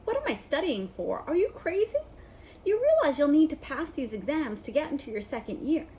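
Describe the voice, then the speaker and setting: A studious young schoolgirl played by a woman from a storybook, nagging and anxious. Her voice is high and swoops emphatically, with clear noise behind it. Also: big pitch swings, brisk, mellow. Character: bossy, anxious, studious schoolgirl, snappish and earnest.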